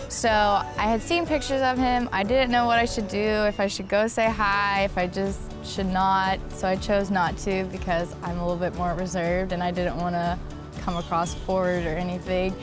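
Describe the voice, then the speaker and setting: A young adult woman telling her own love story in a casual, personal way. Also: mid-range voice.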